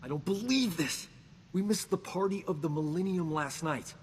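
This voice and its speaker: A man's voice: on the high side, moderate pace, in a large hall, slight background noise, close to an everyday voice. An actor playing a cocky, womanizing human doctor who boasts and wisecracks in a video game. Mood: indignant. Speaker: companion character. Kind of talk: video game dialogue acting.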